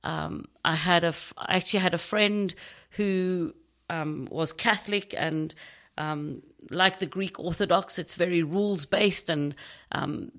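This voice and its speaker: A middle-aged woman from South Africa with a South African accent, a podcast guest telling her story thoughtfully with many pauses and ums. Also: fairly low pitch, a bit bright. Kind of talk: casual interview conversation.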